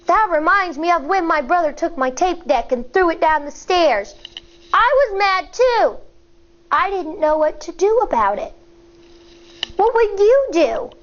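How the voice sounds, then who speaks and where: A woman in her thirties, a teacher, reading a picture book aloud to children in an expressive storyteller manner, recorded in a studio on a lapel mic. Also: extremely high pitch, unhurried, lo-fi sound. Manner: expressive, sing-song, storyteller. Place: indoor studio in front of a backdrop.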